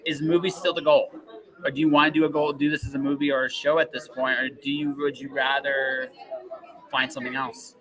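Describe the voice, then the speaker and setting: A man's voice: mid-range voice, flat delivery, brisk, bright, forceful tone, rough recording. A man hosting a casual, enthusiastic interview, rambling and repeating phrases for emphasis. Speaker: podcast host interviewing a guest.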